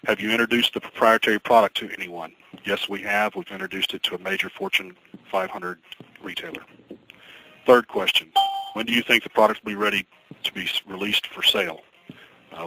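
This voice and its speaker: A man speaking as a company executive, answering questions on an investor conference call in a measured, formal, guarded way. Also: on the low side, creaky, pushed and bright. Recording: noisy conference call recording.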